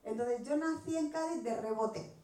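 A woman in her forties from Spain, with an accent from Spain, giving an animated personal testimony as a missionary speaking to a church gathering. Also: sits high, moderate pace. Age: 40s. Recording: echoey room, distant microphone.

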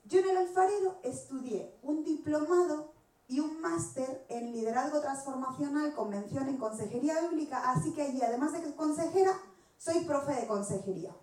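A woman in her forties from Spain, with an accent from Spain, giving an animated personal testimony as a missionary speaking to a church gathering. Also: high voice, moderate pace. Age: 40s. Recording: echoey room, distant microphone.

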